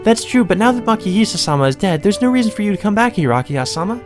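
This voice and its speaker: A man voicing a gentle, wistful young female maid who speaks with polite, deferential formality. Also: high-pitched, expressive, very fast, over music, pitched above the performer's own voice.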